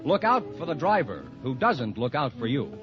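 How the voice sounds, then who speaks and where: American guy doing vintage radio announcer, swooping lively pitch, polished sales pitch, muffled old recording. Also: moderate pace, over music. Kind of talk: 1940s-50s scripted radio announcing and ad reading.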